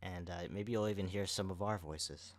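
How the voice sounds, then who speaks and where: A man's voice: sits low, moderate pace. A man talking casually as co-host of a podcast, addressing listeners in everyday conversation. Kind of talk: casual chat.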